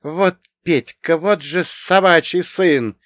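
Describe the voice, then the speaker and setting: Imperious middle-aged aunt of noble birth played by a male narrator: raised pitch, haughty, commanding, dry audiobook sound. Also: lively intonation, moderate pace, fairly bright tone, no echo. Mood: scornful. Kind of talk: acted audiobook dialogue.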